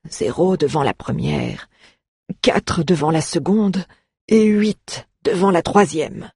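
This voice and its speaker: A wise old male druid voiced by a storybook reader, thoughtful and measured. The voice is slightly husky and dry, weighing each word with scholarly care. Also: medium pitch, lively intonation, a little mellow, no room sound, heavily acted. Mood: deliberate.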